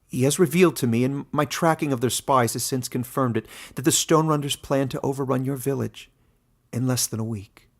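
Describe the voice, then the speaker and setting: An earnest woman traveler from a fantasy storybook, as a male reader plays her. She is loyal and urgent, pleading her case. The voice carries some creak. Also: medium pitch, a little fast, pitched above the performer's own voice.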